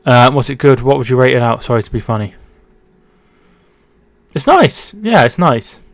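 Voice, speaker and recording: A man's voice: medium pitch, sing-song, normal speed, no room sound. A man hosting a casual football watch-along stream from home, reading out chat and calling the match. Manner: chatty, repeats words and restarts while reading chat.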